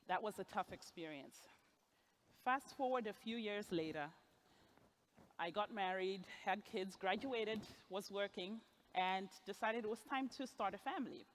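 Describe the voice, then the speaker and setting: A woman's voice: medium pitch, a little hiss or hum. A woman in her forties from Zimbabwe, giving a personal storytelling speech at a gala and laughing at her own asides. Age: 40s. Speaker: gala speaker. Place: gala event hall, on stage.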